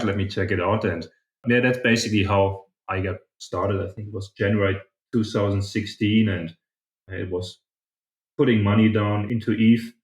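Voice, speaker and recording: A man's voice: fairly low pitch, reverberant, rough recording. A man from southern Germany, giving casual, reflective answers as a podcast guest. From southern Germany, partly grew up in China. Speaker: podcast guest, startup founder.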